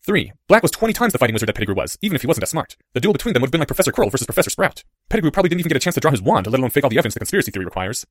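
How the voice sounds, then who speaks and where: Man playing an excitable, fast-talking nerdy schoolboy. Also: medium pitch, no room sound, clean studio sound. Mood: intent explaining. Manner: animated, eager, wordy explaining.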